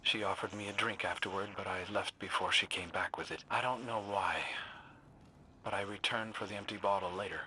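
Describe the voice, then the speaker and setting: A gentle, haunted guardian from a video game, reflective and brooding. The voice sits fairly low with a very sharp, breath-edged brightness. Faint noise lies behind the soft, halting delivery. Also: male voice, steady tone, poor audio. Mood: wistful. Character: gentle, brooding amnesiac reborn male guardian hunter. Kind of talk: dramatic video game cutscene acting.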